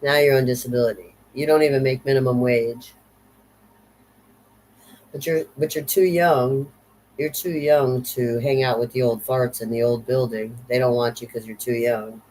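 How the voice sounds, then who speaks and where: A woman's voice: a bass voice, a little mellow, rough recording. Woman, 50s, vlog rant, blunt, profane, sardonic, repeats herself. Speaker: vlogger. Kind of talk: unscripted rant monologue.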